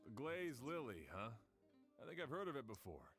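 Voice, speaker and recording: A man's voice: mid-range voice, sing-song, moderate pace, a bit bright, faint music behind. A man playing a curious, thoughtful young traveler in a game, speaking in a musing, reflective way.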